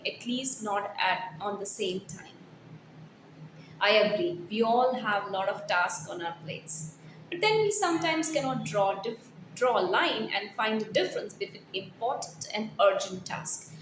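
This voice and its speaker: A roomy, echoing recording carries a woman's fairly high voice. She presents like a corporate trainer, reading prepared text aloud and urging the listener on with earnest emphasis. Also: very animated, normal speed. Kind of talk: scripted blog read aloud with personal asides. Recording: roomy echo, faint background noise.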